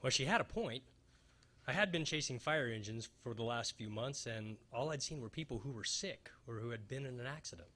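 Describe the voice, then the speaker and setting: Bright, forceful male radio-spoof detective, acted by a man, wisecracking and hapless, wry hard-boiled delivery. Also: mid-range voice, expressive, brisk. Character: wisecracking, hapless male private fire investigator narrating a noir spoof. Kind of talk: old-time radio detective spoof, staged reading.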